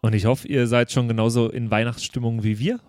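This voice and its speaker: A man's voice: medium pitch, fairly even intonation, brisk, darkish tone. A man hosting a casual podcast introduction, chatting at a table with others. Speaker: podcast host. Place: several people at one table.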